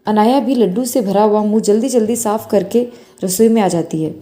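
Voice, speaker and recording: A woman's voice: medium pitch, a little fast, dark tone. A woman storyteller reading a scripted bedtime moral story. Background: faint music.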